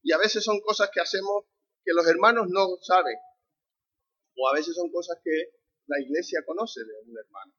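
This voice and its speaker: A man's voice: high voice, normal speed, fairly bright tone, husky. A man from the Canary Islands with a Canarian Spanish accent, preaching a conversational sermon and putting questions to the congregation. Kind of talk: sermon with a family anecdote. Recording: dull lo-fi recording.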